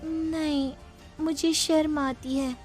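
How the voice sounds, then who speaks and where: A woman's voice: sits high, little pitch movement, slow pace, a little mellow, soft background music, pitched above the performer's own voice. A woman voicing a coy young bride in a cartoon moral story, innocent and a little defensive. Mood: shy. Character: coy young one-eyed bride Naina.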